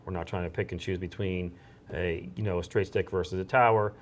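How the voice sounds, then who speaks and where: A man's voice: deep voice, fairly even intonation, brisk, a little hiss or hum. A man speaking as an interview guest, a fire chief explaining things conversationally.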